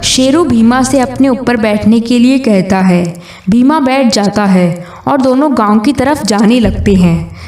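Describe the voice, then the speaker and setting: A woman's voice: on the high side, a little slow, a little mellow. A woman reading a scripted children's story as its narrator. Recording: roomy distant sound, music behind.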